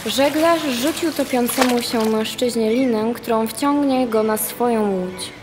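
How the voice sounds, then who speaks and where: A woman's voice: a little high, unhurried, noisy background. A young woman reading scripted narration as the narrator of a radio play. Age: young adult. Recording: long reverb, music behind. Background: music.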